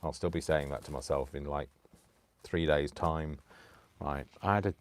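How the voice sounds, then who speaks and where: Deep-voiced tired man rambling. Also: fairly even intonation, normal speed, a little hiss or hum. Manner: chatty, self-deprecating, talks to himself. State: tired, keeps clearing his throat. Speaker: live-stream crafting host. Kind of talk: rambling casual chat while crafting.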